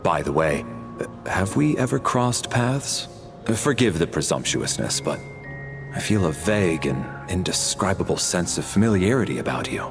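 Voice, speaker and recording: Low, courteous male investigator. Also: sing-song, exaggerated voice. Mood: politely probing. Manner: polite, formal, deliberate. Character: courteous, formal male investigator, probing and deferential. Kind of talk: video game dialogue acting. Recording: studio voice under clear game music. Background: background music.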